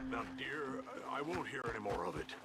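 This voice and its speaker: An actor playing an anxious but resolute older American ranch owner in a video game, genteel and slightly stammering. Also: male voice, mid-range voice, sing-song, normal speed, over loud music. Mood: tense, hushed. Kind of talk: dramatic video game acting.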